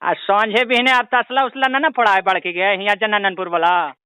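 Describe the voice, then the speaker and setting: A man's voice: high-pitched, steady tone, normal speed, pushed and bright, clear, resonant tone. A man voicing a whiny, ailing old villager with a Bihari accent, pleading and rambling in a comic prank call. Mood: fussing. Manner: pleading, rambling, repeatedly addressing the listener as daughter. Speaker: prank caller.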